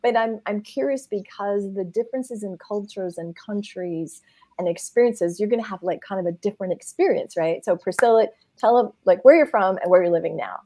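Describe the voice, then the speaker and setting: A woman's voice: medium pitch, a little fast, mellow. An American woman hosting a casual panel talk, effusive and chatty, often restarting her sentences. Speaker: host.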